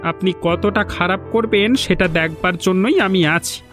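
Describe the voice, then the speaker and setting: A man voicing a bold visitor to a village in a cartoon folk tale. He has an unusually high voice for a man and talks with cheeky confidence, over faint music. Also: unhurried, fairly bright tone. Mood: defiant. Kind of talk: Bengali animated folk-tale dialogue.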